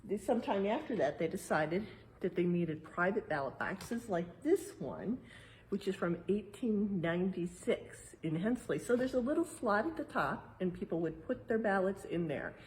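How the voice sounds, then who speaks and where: A woman's voice: medium pitch, animated, moderate pace, a little mellow, poor audio. Woman reading aloud over noticeable room noise. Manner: explanatory, checks in with tag questions. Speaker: museum educator reading a picture book aloud. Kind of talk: read-aloud storytime with casual explanatory asides. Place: indoors in a museum exhibit.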